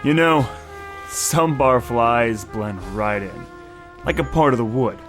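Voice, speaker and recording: Hard-boiled private eye, male actor for noir audio drama: impatient, slangy, slightly high male register. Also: expressive, slow pace, over music. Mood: wry, narrating. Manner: gruff, wry, streetwise slang.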